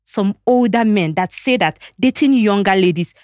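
A woman with a Nigerian accent on a casual podcast debate, animated and questioning, who acts out other people's lines. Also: medium pitch, normal speed, dry recording, studio quality.

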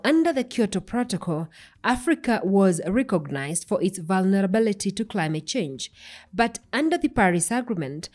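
A woman speaks as a podcast host and interviewer. Her voice sits at a medium pitch, and she talks in an organised, presenter-like way, setting out points and putting questions to a guest. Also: a little mellow. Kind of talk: scripted podcast introduction and interview.

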